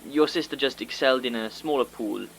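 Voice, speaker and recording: An actor playing a formal, apologetic director, speaking in a measured, slightly hesitant way as if leaving a voicemail. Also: male voice, mid-range voice, vocal fry, fairly bright tone, slightly rough, rough recording. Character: formal, apologetic middle-aged male selection director. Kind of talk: scripted audio drama voicemail.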